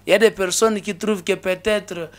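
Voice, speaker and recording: A man's voice: high voice, fairly even intonation, brisk. A man in his thirties with a Congolese French accent, an interview guest in a small studio, speaking earnestly at a desk microphone with many restarts. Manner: earnest, with frequent restarts and hesitations. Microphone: desk microphone on a boom arm.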